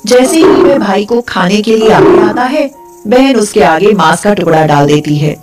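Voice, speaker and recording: A woman tells a folk tale in scripted narration over a music bed. Her pitch is middling for a woman, and she serves as the storyteller. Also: normal speed, darkish tone.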